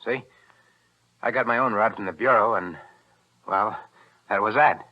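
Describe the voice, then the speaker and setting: Guy doing a hardboiled killer gangster, slangy n kinda low, old muffled radio drama sound. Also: measured pace, lightly acted. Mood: wheedling. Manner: slangy tough-guy talk. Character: cornered, cold-blooded gangster killer, a tough talker. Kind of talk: 1940s radio thriller acting. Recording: muffled vintage radio broadcast.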